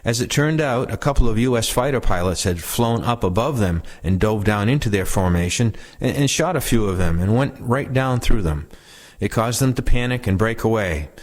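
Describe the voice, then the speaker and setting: Somewhat low-voiced man reading recollections. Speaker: reader of veterans' recollections. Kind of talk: reading oral history aloud, scripted narration.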